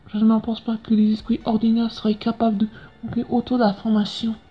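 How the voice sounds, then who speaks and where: Woman acting an earnest scientist, highish voice, reflective, comic dub narration, faint music behind. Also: slightly rough. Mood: awed. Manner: explanatory, reflective. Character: earnest, curious scientist with visions of the future. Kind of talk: dubbed comic narration and dialogue. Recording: dull lo-fi sound with music behind.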